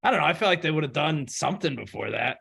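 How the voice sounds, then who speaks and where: A man's voice: fairly high pitch, animated, fast talker, vocal fry, fairly bright tone, breathy edge. A man hosting a casual, sweary, irreverent podcast chat. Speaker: podcast host.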